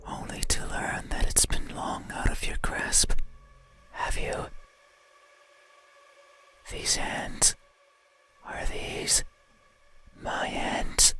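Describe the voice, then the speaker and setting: A man voicing a creepy, lonely, yearning monster in an intimate ASMR horror roleplay, speaking in a hushed, halting way. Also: natural voice. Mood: quiet, uncanny. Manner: hushed, slow, halting, intimate direct address. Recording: close whisper under loud eerie music. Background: loud scary music.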